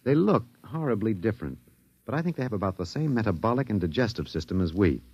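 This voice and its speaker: An actor playing a wry, detached, philosophical American academic, musing and hesitant, in a 1950s radio drama. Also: male voice, lowish voice, big pitch swings, fast, darkish tone, breathy edge, heavily acted. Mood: musing, resigned. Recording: dull vintage 1950s radio recording.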